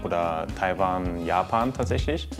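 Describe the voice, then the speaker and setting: A man's voice: sits low, unhurried, over music. A man in his twenties speaking in a casual, laid-back way in an interview. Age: 20s.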